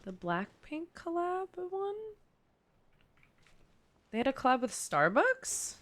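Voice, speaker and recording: A woman's voice: high voice, very animated, slow pace, fairly bright tone. A young woman chatting casually as she streams a game, rambling through conversational asides. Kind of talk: casual chatty livestream gaming commentary. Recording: clear background noise.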